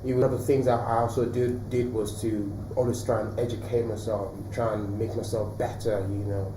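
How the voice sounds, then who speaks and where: A man from Nigeria, a guest on a talk show, telling stories in a relaxed, reflective way with many fillers. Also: on the low side, brisk. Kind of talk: interview answers. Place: TV studio. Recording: roomy echo, mic at a distance, faint noise.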